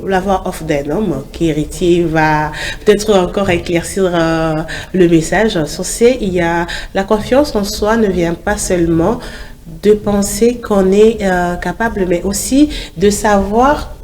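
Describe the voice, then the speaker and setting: A woman's voice: medium pitch, takes it slowly, a little mellow, a little hiss or hum. Woman with a cold hosting a morning show. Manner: chatty, many fillers, upbeat. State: has a cold. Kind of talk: casual studio chat. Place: TV studio.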